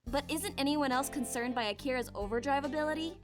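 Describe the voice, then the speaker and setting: A woman voicing a game character in a calm, measured way. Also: high voice, soft background music. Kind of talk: voice acting in a game.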